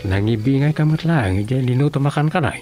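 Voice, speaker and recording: A man's voice: mid-range voice, lively intonation, fairly quick, loud music behind the voice, close to an everyday voice. A man playing a lovesick, plaintive village husband who mutters to himself in a radio comedy drama. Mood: hushed.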